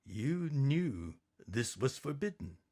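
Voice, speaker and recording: A man voicing a kindly, practical young father in a measured, reassuring way for a storybook reading. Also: mid-range voice, very animated, dark tone, slightly rough, heavily acted. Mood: stern.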